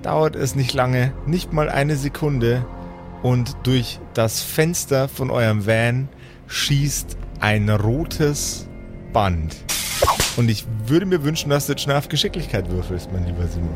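A man runs a tabletop game as game master over clearly audible music. His mid-pitched voice moves between theatrical storytelling and teasing, casual banter. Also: animated, slow. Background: background music.

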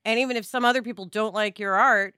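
A female podcast host with a bright, forward voice pitched a little high. She speaks informally and chattily, and the sound is close and dry. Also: fairly even intonation, quick pace, smooth, dry recording. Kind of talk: casual podcast chat.

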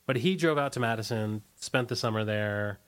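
A man being interviewed in a casual, rambling way, halting and often restarting his sentences. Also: on the low side, fairly bright tone, smooth. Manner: rambling, halting, many false starts. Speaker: interview guest, punk band singer. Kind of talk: casual conversational interview, recollecting.

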